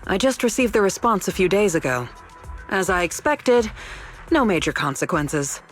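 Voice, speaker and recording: A woman voicing a young female researcher in a video game, speaking formally and precisely with dry, quiet evasiveness. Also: medium pitch, big pitch swings, moderate pace, soft background music, lightly acted. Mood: composed, wry. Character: young female researcher, composed, wry, quietly rule-bending. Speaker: researcher character. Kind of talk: scripted video game dialogue.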